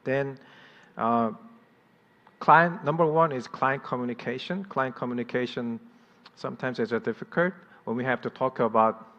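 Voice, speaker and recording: A man's voice: medium pitch, fairly even intonation, moderate pace, fairly bright tone. Korean-accented man presenting in a hall. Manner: hesitant, many fillers and restarts. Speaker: conference speaker. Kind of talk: conference presentation. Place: conference hall. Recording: dull audio in a hall with long reverb.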